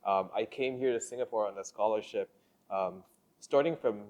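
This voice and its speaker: A young man from the Philippines hosting a podcast, speaking in a measured, hesitant way with many fillers. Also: fairly low pitch, darkish tone. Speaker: podcast host. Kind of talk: casual interview conversation.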